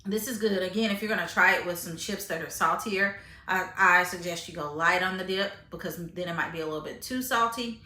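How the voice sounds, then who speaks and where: A woman's voice: on the low side, fairly quick, sharp tone. Chatty woman in an echoey room. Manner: chatty, frequent fillers and restarts; eats while talking, tasting food on camera. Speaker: vlogger reviewing grocery finds. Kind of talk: casual chat to camera.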